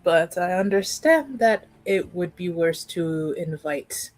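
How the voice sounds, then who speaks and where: A woman playing a dutiful young noblewoman in a tabletop game, speaking formally and with measured, dryly wry wording. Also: on the low side, animated, darkish tone, dry recording, faint music behind. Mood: reassuring, resigned.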